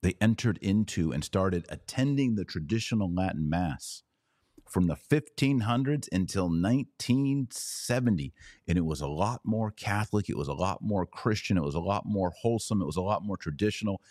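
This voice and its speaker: A man's voice: low-pitched, lively intonation, normal speed, darkish tone, no room sound, studio quality. A man hosting a podcast, giving opinionated commentary with emphatic, repeated phrases. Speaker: podcast host.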